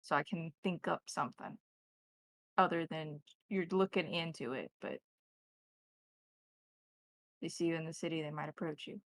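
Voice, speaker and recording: A woman voicing a gruff, blunt male police colleague on a phone call in a tabletop roleplaying game. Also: low-pitched, fairly even intonation, no echo. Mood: guarded, helpful. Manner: swearing, blunt, halting cop talk.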